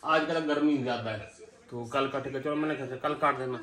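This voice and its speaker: A man's voice: medium pitch, fairly even intonation, a little fast, pushed and bright. A man, chatting casually and playfully as he films a family vlog at home. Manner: playful, bantering with his wife. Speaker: family vlogger, host. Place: indoors at home, kitchen and rooms. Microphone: phone at arm's length. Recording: noisy handheld home recording.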